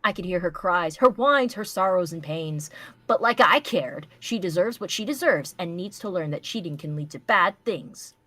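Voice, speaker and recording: A woman's voice: on the low side, animated, moderate pace, bright, forceful tone, dry recording. A woman giving a playful, theatrical amateur live reading of a story, close to the microphone. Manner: theatrical, foul-mouthed, playful.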